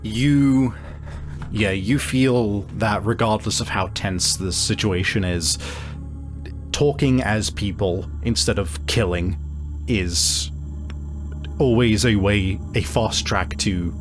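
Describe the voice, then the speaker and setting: A man's voice: on the low side, takes it slowly, music in the background. A man acting as game master, casually instructing players on rolls and rules. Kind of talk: casual running of tabletop game.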